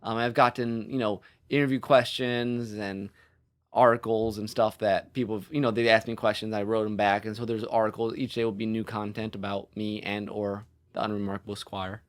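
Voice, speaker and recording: A man's voice: fairly low pitch, little pitch movement, moderate pace. A man co-hosting a podcast as an author, chatting casually in a rambling, self-deprecating way.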